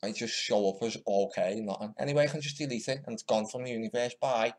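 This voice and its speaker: A man's voice: medium pitch, quick pace. A man giving casual, mocking commentary while editing clips, often muttering to himself. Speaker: video maker commenting on news clips.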